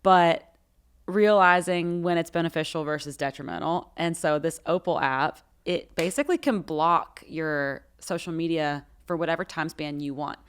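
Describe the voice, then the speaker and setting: A woman's voice: low-pitched, normal speed, clean tone. A young woman singer co-hosting a podcast, chatting casually and animatedly in a studio. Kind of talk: casual chat. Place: recording studio.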